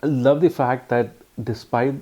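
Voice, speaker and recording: A man with an Indian accent giving a casual, halting phone review full of ums and uhs. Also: medium pitch, steady tone, warm tone. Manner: earnest, explanatory. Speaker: tech reviewer.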